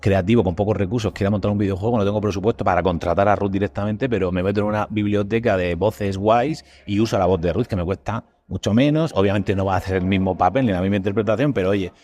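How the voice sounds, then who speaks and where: A man with a Spain Spanish accent hosting a casual podcast discussion in an animated, colloquial, rambling way. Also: lowish voice, fast, few pauses. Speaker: podcast host.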